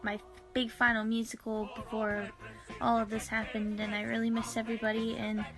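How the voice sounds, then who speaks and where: A young woman talks wistfully into her webcam. Her voice is gravelly and stays flat. Faint music plays under her. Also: on the high side, normal speed. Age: young adult. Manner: wistful, gentle. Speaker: high school senior cast member. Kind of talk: casual video testimonial. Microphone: webcam close up, wearing headphones.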